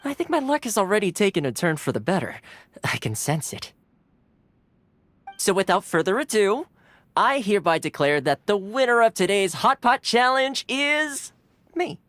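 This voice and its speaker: Lively, easygoing young retainer. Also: male voice, sits high, moderate pace, dry recording. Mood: breezy, proud. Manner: breezy, playful, expressive. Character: easygoing, cheerful young male retainer, playfully competitive. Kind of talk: animated video game voice acting. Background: background music.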